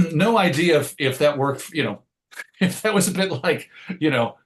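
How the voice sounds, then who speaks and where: A man's voice: on the high side, animated, moderate pace. A man speaking as a comics writer being interviewed, conversational and thoughtful, often rambling and correcting himself as he goes. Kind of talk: conversational interview answers, reflective.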